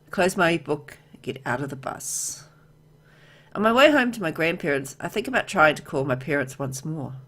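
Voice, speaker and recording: A woman's voice: deep voice, animated, moderate pace, a bit bright. A woman reading a visual novel aloud as she plays, mixing narration with casual asides.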